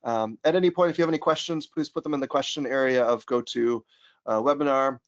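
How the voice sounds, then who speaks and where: An American man guides a software webinar in an easygoing, filler-heavy way. His voice sits at a middle pitch. It comes through a computer mic on a call, a little dull. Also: quick pace. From United States. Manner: casual, many ums and restarts, upbeat when introducing topics. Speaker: trainer and pedagogical consultant. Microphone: computer mic on a video call. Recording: slightly dull webinar audio.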